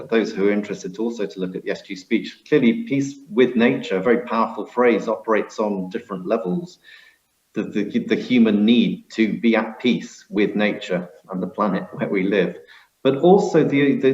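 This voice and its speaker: A man moderating a panel discussion, passing the floor between speakers in a measured way. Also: fairly low pitch, darkish tone, roomy sound, highs cut off.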